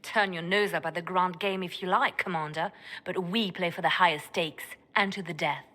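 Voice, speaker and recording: An actress voicing a cool, composed spymaster in a fantasy game, speaking in a quiet, even way. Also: female voice, lowish voice, moderate pace. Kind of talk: video game dialogue acting. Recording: game audio with soft music behind.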